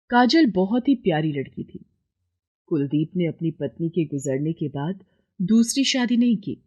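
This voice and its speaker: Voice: medium pitch, mellow, highs cut off. A narrator reading a scripted moral bedtime story.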